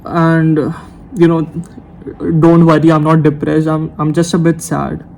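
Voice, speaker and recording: Brief: young man around nineteen, unscripted late-night vlog, rambling with restarts, monotone, slightly raised pitch. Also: measured pace, creaky, a little hiss or hum, poor audio. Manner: rambling, hesitant, self-correcting. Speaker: YouTuber. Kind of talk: unscripted talk to camera. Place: room at night. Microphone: camera mic.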